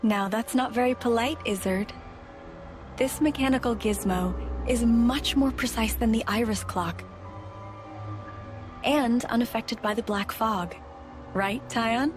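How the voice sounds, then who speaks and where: Composed, courteous female leader for a video game woman acting, lightly pitched, music behind. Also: a little slow, long pauses, close to an everyday voice. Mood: gently teasing. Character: composed, polite female military leader, quietly playful.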